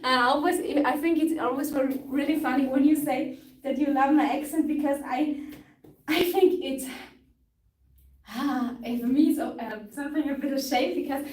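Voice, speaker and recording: A woman's voice: high voice, steady tone, moderate pace, a little mellow. A young woman with a Tyrolean Austrian accent, a native German speaker, guiding a live stretching class and explaining each move as she demonstrates it, sometimes searching for English words. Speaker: stretching instructor. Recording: echoey room from far off, faint noise.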